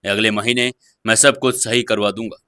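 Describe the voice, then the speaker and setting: Man acting male CEO husband, audio story, conciliatory, measured, earnest, bright, dry close sound. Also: fairly low pitch, fairly even intonation, clear, resonant tone, no echo. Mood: gentle, relieved. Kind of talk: Hindi audio story dialogue.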